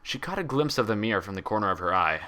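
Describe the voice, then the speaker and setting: A man reading a story aloud as scripted, expressive narration. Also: fairly low pitch, quick pace. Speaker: fan fiction reader.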